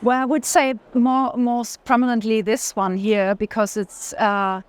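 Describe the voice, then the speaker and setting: A woman's voice: on the high side, level tone, a little slow, clean tone. A woman speaking as an interview guest and collector, conversational and often searching for words. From Germany. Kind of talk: unscripted conversation.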